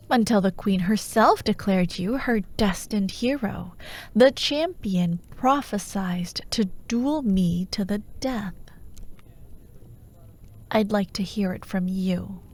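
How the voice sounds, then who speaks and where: Woman acting as dark warlord villain, very unhurried, breathy, half-whispered, grandiose, persuasive, menacing, ASMR fantasy roleplay. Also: medium pitch. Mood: coolly taunting. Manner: slow, grandiose, coaxing, mock-reasonable.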